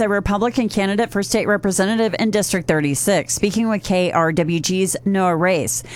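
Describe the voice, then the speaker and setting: An American woman working as a radio news anchor, reading scripted reports in a studio. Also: fairly low pitch. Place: radio studio, dry and close.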